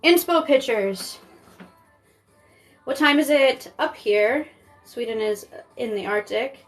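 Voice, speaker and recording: A young woman from Maine talks through a live stream in a loose, rambling way, peppered with fillers. Her voice sits a little high. She sounds like she is chatting from home into a phone. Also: slow pace, faint music behind. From Maine, United States. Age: 20s. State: pregnant. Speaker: makeup artist streaming live. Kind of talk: casual live-stream chat.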